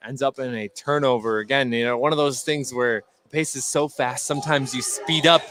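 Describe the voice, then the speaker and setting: A man giving measured, conversational color commentary on a live basketball game. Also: medium pitch, fairly even intonation, a bit bright. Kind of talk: unscripted sports analysis.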